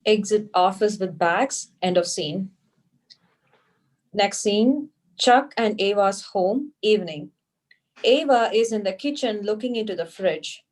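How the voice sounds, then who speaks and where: A woman's voice: medium pitch, expressive, unhurried. A woman narrating stage directions at an amateur table read in a neutral, plain manner. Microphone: video call.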